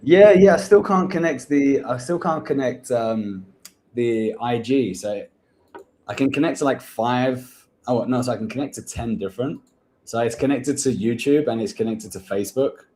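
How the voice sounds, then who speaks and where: A man's voice: medium pitch, normal speed, dark tone, a little hiss or hum. A man hosting a live-stream Q&A about getting hired, blunt and coaching, calling people "bro". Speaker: host, recruitment agency owner.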